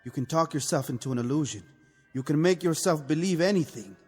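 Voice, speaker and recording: An actor playing a conscience-stricken revolutionary officer, sardonic and brooding, in a mid-century television drama. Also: male voice, fairly high pitch, fairly quick, slightly rough. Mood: challenging. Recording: old TV soundtrack, reverberant, music behind.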